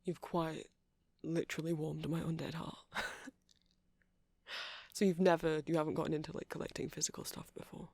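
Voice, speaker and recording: A man's voice: on the high side, level tone, normal speed, gravelly, darkish tone, breathy edge, dry recording. A man playing an awkward, rambling vampire music shop owner who stammers and hesitates in a casual ASMR roleplay. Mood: friendly, earnest. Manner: hesitant, stammering, apologetic, nervously chuckling.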